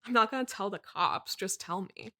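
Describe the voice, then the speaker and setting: A woman plays a quirky, dreamy young free spirit in a comedy mock interview. Her voice is notably bright and forward. She gushes with whimsical, theatrical earnestness, as if every remark were profound. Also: medium pitch, normal speed, lightly acted. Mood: intense, dramatic. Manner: gushing, self-consciously whimsical, dramatic.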